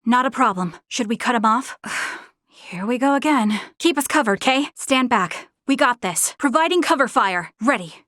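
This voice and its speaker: A woman voicing an earnest, determined young female soldier in a video game, with spirited, crisp military delivery. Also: a little high, animated, normal speed, a bit bright, no echo, studio quality. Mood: brisk, ready. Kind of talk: video game battle voice lines.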